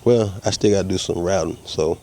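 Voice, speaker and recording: A low-pitched man with a drawl, stuffy from a head cold, talks his way through each step of a repair. He is easygoing and explains every tool as he goes, with faint birdsong and outdoor air behind him. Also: brisk, mellow, a little hiss or hum. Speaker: custom car restorer demonstrating a repair. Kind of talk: casual step-by-step how-to narration. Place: outdoor workshop.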